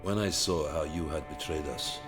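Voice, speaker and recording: An actor playing a cold, stern Soviet commander who speaks in a measured, authoritative way, over loud string music. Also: male voice, very deep voice. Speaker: Soviet senior officer interrogating the narrator.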